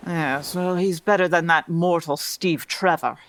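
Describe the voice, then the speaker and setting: A woman's voice: on the low side, fairly even intonation, a little slow. A woman playing a dignified, exasperated Amazon queen with a formal, stern manner in a comedy audio drama. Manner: formal, stern, long-suffering.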